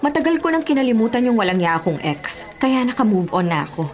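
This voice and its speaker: A woman's voice: fairly high pitch, big pitch swings, brisk, a faint crowd. An actress playing a bitter, stubborn young woman in a Filipino radio drama, sarcastic and exasperated.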